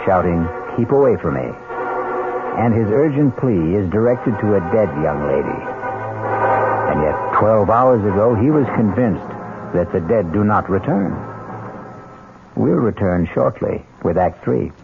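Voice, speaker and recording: A man hosting a 1970s radio mystery, narrating in a deliberate, ominous, theatrical style. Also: fairly low pitch, animated, creaky, phone-quality sound. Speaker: host and narrator of a mystery show. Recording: thin old radio broadcast. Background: music.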